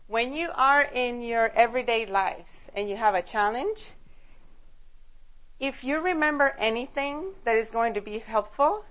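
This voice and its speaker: A woman's voice: medium pitch, a bit bright. Female workshop presenter, conversational and inclusive, mid-range voice, speaking to a group on a slightly muffled recording. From Costa Rica. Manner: conversational, engaging listeners with questions. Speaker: workshop leader, child psychotherapist and art therapist. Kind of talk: informal workshop talk to a live group. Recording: dull lo-fi live room recording.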